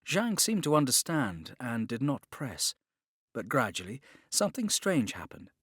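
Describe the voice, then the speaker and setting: A man's voice: mid-range voice, wildly swooping pitch, measured pace, a bit bright, breathy edge, dry recording, clean studio sound. A man reading scripted dramatic narration as an audiobook narrator.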